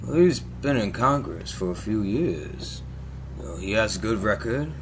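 Very deep-voiced, easygoing husband. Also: male voice, animated, unhurried, noisy background. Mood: fond, teasing. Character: easygoing, supportive husband, gently teasing. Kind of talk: audio drama acting.

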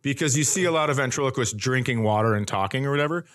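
A man's voice: mid-range voice, fast talker, a bit bright. A man hosting a comedy podcast, telling stories casually in a wry, self-deprecating, conversational way.

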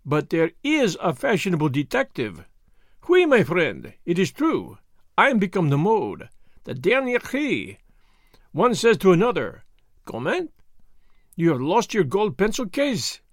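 A man's voice: sits high, dry recording, clean studio sound, heavily acted. A man voicing a fussy, vain Belgian detective with a French accent, pompous and precise in his speech. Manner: pompous, precise, self-satisfied. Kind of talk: audiobook character voice.

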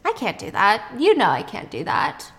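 Woman voicing a calm, creepy kidnapper man. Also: medium pitch, moderate pace. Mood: calm, evasive. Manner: matter-of-fact, coaxing, casual.

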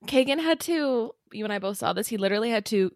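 A woman's voice: on the high side, lively intonation, fast, no room sound. Wry chatty woman cohost on a podcast, super dry close recording. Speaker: podcast co-host. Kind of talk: casual chat.

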